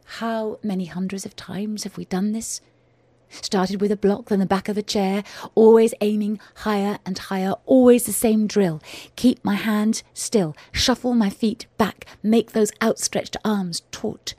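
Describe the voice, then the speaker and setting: A woman performing her own first-person audio drama, in a measured, intense delivery that often drops to a hushed whisper. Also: mid-range voice, fairly even intonation, no room sound. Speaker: writer and performer.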